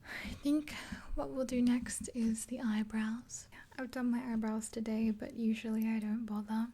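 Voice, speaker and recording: A woman in her twenties doing a gentle ASMR roleplay in a soft, unhurried, breathy whisper, close to the mic. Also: fairly high pitch, level tone. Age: 20s. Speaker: ASMR video creator. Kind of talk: ASMR roleplay, whispered makeover with rambling chat. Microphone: close to the mic, facing camera.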